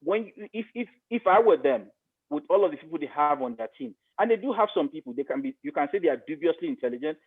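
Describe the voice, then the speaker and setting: A man's voice: on the high side, sing-song, fast talker, slightly rough, narrow, tinny audio. A man with a Nigerian accent giving his political opinions emphatically, repeating phrases for stress.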